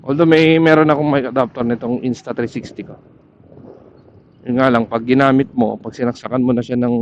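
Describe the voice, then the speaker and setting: A Filipino man talks at a slow, halting pace, like a casual ride vlog. His voice comes through a dull, muffled helmet intercom mic. Faint vehicle noise runs behind him outdoors. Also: medium pitch. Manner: halting, pausing to search for words. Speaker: motorcycle ride vlogger. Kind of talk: casual vlog talk while riding. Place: outdoors, riding a motorcycle. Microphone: helmet intercom headset.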